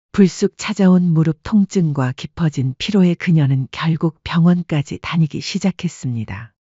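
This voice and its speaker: A woman narrating a scripted story with a soft, husky voice. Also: deep voice, no room sound, dull audio.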